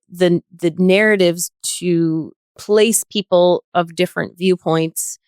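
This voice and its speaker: Thoughtful woman with a lower-pitched voice. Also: smooth, no room sound, clean studio sound. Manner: thoughtful, earnest, measured. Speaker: podcast guest. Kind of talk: reflective panel discussion.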